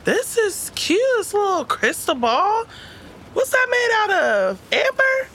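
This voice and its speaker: A woman acting in an audio drama as a sassy, guarded but soft-hearted young woman, with slangy, teasing, expressive delivery. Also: extremely high pitch, takes it slowly, a little hiss or hum, heavily acted. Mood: delighted.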